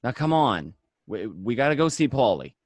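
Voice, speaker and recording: A man's voice: mid-range voice, very animated, moderate pace, no room sound. A man playing a defensive, hustling gangster in an amateur radio drama read, insistent and stammering over repeated words. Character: defensive, hustling young male gangster, eager to reassure.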